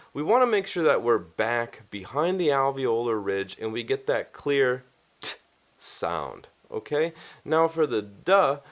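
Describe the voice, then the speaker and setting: Man, slow delivery, lowish pitch, language teacher, deliberate explanatory lesson style. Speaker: English pronunciation teacher. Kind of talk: instructional lesson to camera.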